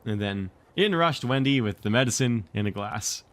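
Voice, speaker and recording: Man reading aloud with lively pitch. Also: normal speed. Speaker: streamer and storybook reader. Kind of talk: casual livestream chat and expressive read-aloud narration.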